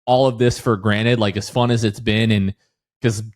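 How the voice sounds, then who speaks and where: A man's voice: fairly low pitch, steady tone, moderate pace. A man hosting a sports podcast in casual, informal chat, often dropping "like" into his sentences. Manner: informal, peppers talk with "like". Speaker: podcast host.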